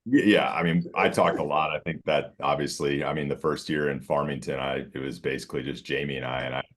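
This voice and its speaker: Very deep-voiced man in his forties. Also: steady tone, fast talker. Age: 40s. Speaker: guest, former quarterback. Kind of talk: casual reminiscing chat.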